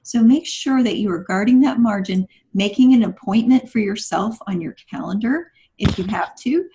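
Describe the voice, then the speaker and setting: A woman's voice: medium pitch, expressive, moderate pace, warm tone, rough recording. A woman guest on a podcast, giving conversational advice in an instructive, encouraging manner.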